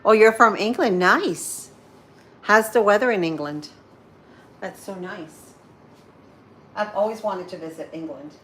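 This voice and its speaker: A woman's voice: mid-range voice, measured pace, long pauses, fairly bright tone, slight background noise. A woman in her thirties with a Spanish-influenced accent, hosting a casual morning livestream and chatting with viewers while she cooks. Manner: chatty, rambling, answers viewer comments as she goes. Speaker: livestream host.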